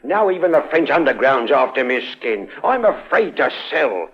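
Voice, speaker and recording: An actor playing a tough, wary smuggler boss with a gruff, husky voice in an old radio drama. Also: male voice, mid-range voice, sing-song, normal speed. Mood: wary, bargaining. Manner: gruff, threatening, slangy. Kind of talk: 1950s radio drama acting. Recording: muffled vintage radio recording.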